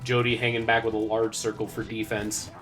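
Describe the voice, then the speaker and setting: A man's voice: lowish voice, monotone, fairly quick, over music. A young man doing playful mock sports-caster play-by-play of a video game. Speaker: co-commentator.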